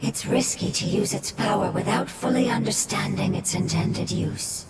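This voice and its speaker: A woman's voice: medium pitch, moderate pace, lightly acted. An actress playing a cautious adult woman, earnest and wary. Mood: serious. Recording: echoey, distant, loud game music.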